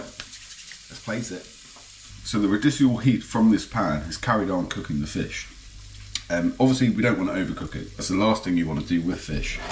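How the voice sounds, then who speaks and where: A man with a stuffed-up nose gives a relaxed, enthusiastic running commentary as he cooks. His voice sits on the low side, and the kitchen sounds echoey. A pan sizzles and fries clearly behind him. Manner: relaxed, enthusiastic about food, talks while cooking. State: blocked nose, bunged up. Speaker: fishing and cooking vlogger. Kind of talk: casual cooking commentary. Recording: echoey kitchen with frying noise.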